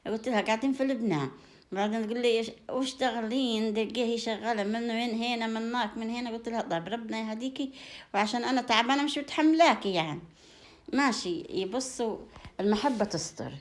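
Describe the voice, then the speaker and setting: A woman's voice: on the high side, fast talker. A woman from Upper Egypt with a Sa'idi accent, chatting casually to her viewers as a home vlogger, repeating phrases and offering effusive blessings and endearments. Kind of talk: casual chat to viewers, showing food gifts.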